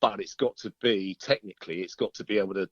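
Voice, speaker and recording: A man speaks as a musician guest in a relaxed interview. His voice sits slightly low, and he talks haltingly, circling back over his words. The recording is dry but dull, like a lo-fi call. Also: no room sound. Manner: hesitant, halting, self-repeating. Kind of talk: casual interview chat.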